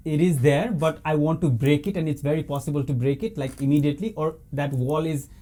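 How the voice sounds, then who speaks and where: A man hosting a podcast interview, speaking hesitantly with many restarts and quiet murmurs of agreement. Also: on the high side, steady tone, brisk. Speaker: podcast host. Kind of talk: casual interview.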